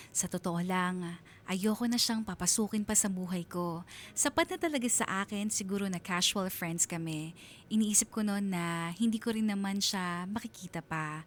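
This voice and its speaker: An actress with a Filipino accent playing a wistful woman of thirty confiding her love story as radio drama narration. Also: natural voice. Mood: uneasy, confiding. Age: 30s. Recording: faint rumbling background noise.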